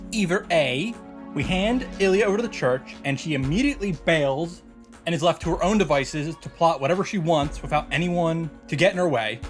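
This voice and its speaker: Male game host, fairly high voice, casual story recap and reactions, light music bed. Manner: swears casually. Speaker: host of a visual novel playthrough.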